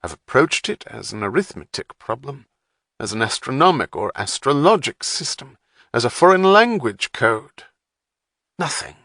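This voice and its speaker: A man voicing a polite, wry male historian with a plummy English accent and a measured, professorial manner. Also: medium pitch, big pitch swings, sharp tone, dry recording, clean studio sound, pitched above the performer's own voice, full character voice. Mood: genteel. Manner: measured, genteel, slightly professorial.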